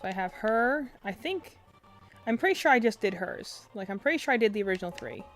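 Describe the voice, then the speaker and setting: A young woman with a quiet, soft voice chatting casually on a game stream, rambling with lots of fillers and restarts, close to her desk mic. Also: fairly high pitch, animated, fairly quick, soft background music. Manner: rambling, filler-heavy, self-interrupting. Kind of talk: casual live-stream chat. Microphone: desk mic close to face.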